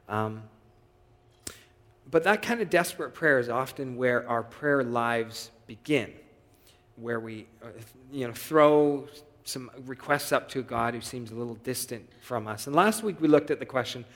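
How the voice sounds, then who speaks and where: A man preaching a sermon and giving announcements to his congregation in a relaxed, conversational way with frequent filler pauses. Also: medium pitch, long reverb tail. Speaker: pastor. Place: church sanctuary.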